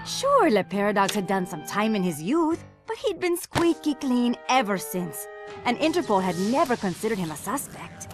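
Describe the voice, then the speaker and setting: A fiery police inspector played by a woman narrates a video game cutscene over clear background music. She is sarcastic and indignant, hitting words hard with a very lively, swooping intonation. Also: mid-range voice, moderate pace, natural voice. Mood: suspicious, sardonic. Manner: indignant, scornful, emphatic.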